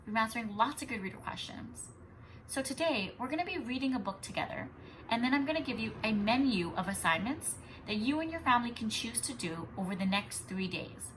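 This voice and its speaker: Kindergarten teacher lady doing a read-aloud, bright voice, explains as she goes, bit of background noise. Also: on the high side, animated, a little fast, poor audio. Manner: instructive, emphatic storytelling.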